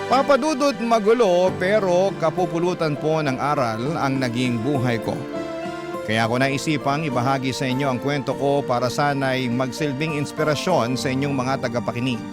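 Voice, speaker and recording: A man hosting a radio storytelling show, reading a letter as earnest, measured, dramatic narration in classic radio style. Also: fairly high pitch. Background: prominent background music.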